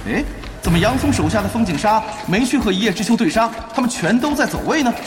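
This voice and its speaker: A man playing an excitable, emphatic esports play-by-play commentator in an audio drama, prone to dramatic exclamations. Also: sits high, fast talker, reverberant, soft background music. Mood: curious, engaged. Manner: emphatic, exclamatory, building to shouts.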